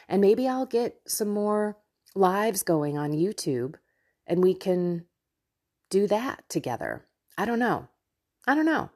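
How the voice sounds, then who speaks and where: Low-pitched, slightly nasal woman podcaster. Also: breathy edge. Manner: effusive, chatty, talks directly to listeners. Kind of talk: casual devotional monologue to listeners.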